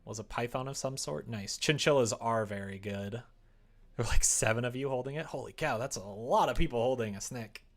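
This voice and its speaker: A man's voice: medium pitch, fairly quick. A man live-streaming, chatting casually and easily with viewers in a rambling way, reading out their comments as he goes.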